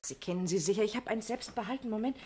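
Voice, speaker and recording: An actress playing an obliging, hurried young woman in a 1960s German radio crime play, chatting readily. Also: medium pitch, mellow, slight background noise, lightly acted. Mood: hurried, helpful.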